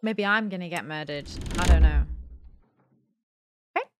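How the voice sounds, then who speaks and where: A woman's voice: lowish voice, moderate pace, noisy background. A young woman gives casual let's play commentary, thinking aloud and questioning herself in puzzlement. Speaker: gaming video host. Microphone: close desk mic with headset on.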